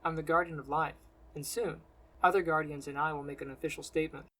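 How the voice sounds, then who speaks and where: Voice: medium pitch, steady tone, fast, sharp tone, rough recording. Narrator as a dry, solemn male healer. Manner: dry, restrained. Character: solemn young male healer with godlike powers, compassionate, self-righteous.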